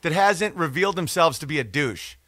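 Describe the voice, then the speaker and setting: A man's voice: on the high side, lively intonation, fairly quick, fairly bright tone, no room sound, clean studio sound. A man hosting a podcast, talking in a casual, digressive, profane monologue as he reads out and answers comments. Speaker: podcast or livestream host.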